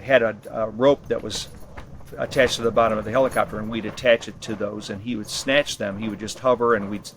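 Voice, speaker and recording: A man with a fairly low voice talks casually, as if answering interview questions. He rambles a little, stopping to rephrase and correct himself. There is clear background noise on the recording. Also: fairly even intonation, a little fast. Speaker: interviewee, ham radio expedition team member. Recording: clearly noisy location sound.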